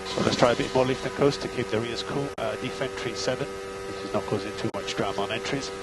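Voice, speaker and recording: A man working as a race engineer, giving clipped, steady calls of gaps, lap times and instructions over team radio. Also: medium pitch, brisk, heavy background noise.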